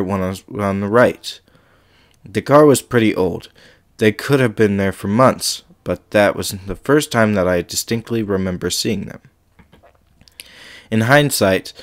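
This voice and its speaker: A male podcast host with a slight cold, chatting casually with frequent ums and then reading a scary story aloud. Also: fairly low pitch.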